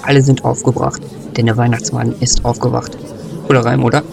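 A man's voice: medium pitch, little pitch movement, fairly quick, vocal fry, over music. A man narrating a playful scripted Christmas story.

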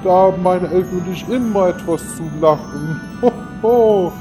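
A man's voice: high-pitched, normal speed, natural voice. A man playing a jolly Santa Claus in a comic Christmas audio drama, with hearty ho-ho-hos scattered through the talk. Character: jolly male Santa Claus who chuckles ho-ho. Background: music.

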